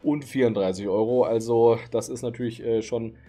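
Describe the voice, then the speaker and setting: A man's voice: mid-range voice, fairly even intonation, moderate pace, dark tone, soft background music. A man in his thirties hosting a casual card market video from his home streaming room, chatty with lots of fillers, his voice tired late at night. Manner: chatty, frequent fillers, easygoing. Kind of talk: casual market commentary to camera.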